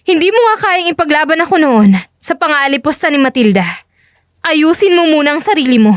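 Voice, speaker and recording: Woman doing tough lady undercover agent, high voice, curt n cold, dry studio sound, audio drama. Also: animated, brisk, dry recording. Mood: stern, final. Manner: firm, curt, dismissive. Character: cool, firm female undercover agent. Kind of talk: dramatic audio story acting.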